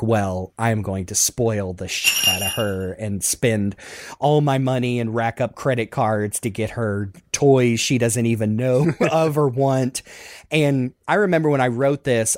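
A man's voice: mid-range voice, a little mellow, no echo, studio quality. A man chatting reflectively as a podcast panelist, earnest and self-deprecating, often feeling for his words in a rambling way. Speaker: podcast panelist, writer of a fan radio play. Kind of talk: reflective casual chat.

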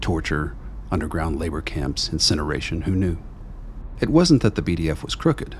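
A man narrating a radio play in a measured, ominous storytelling manner, with a deep gravelly voice. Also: big pitch swings, a little hiss or hum.

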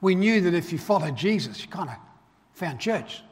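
A middle-aged man, born in Ireland and raised in Australia, preaching a sermon in a relaxed, conversational way, fillers and restarts. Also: high voice, moderate pace. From Northern Ireland. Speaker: pastor. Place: church auditorium. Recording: reverberant church hall.

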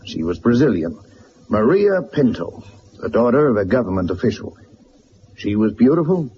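An actor playing a proud, wealthy middle-aged businessman, speaking bluntly and haltingly, as if confessing, in a radio drama. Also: medium pitch, sing-song, lightly acted. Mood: pained, confessional. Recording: dull, noisy old radio drama recording.

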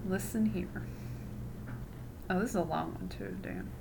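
A woman's voice: fairly low pitch, a little slow, creaky, noisy background. A woman hosting her own podcast in casual chat, sarcastic and mocking, swearing freely as she talks. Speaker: podcast host.

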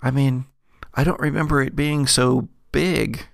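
A man's voice: medium pitch, steady tone, unhurried, gravelly, no echo. A hesitant, awed woman in a tabletop game, voiced by a man. The words come out in small, stammering questions, close and dry on the recording. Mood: hesitant wonder.

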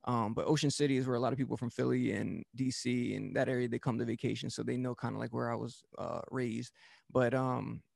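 A man's voice: medium pitch, little pitch movement, a little fast, vocal fry, a little mellow, no room sound, studio quality. A man from Delaware, a DJ and podcast host, talking loosely as an interview guest, with many fillers and restarts. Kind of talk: casual interview talk.

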